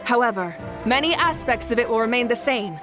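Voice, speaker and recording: A woman voice actor playing a confident, warm and commanding leader in a video game, speaking with measured assurance. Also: a little high, expressive, over music, close to an everyday voice. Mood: rousing, solemn.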